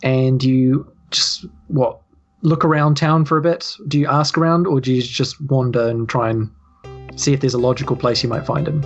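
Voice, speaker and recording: A man's voice: mid-range voice, steady tone, moderate pace, highs cut off, faint music behind. A man with a New Zealand accent casually improvising narration as game master.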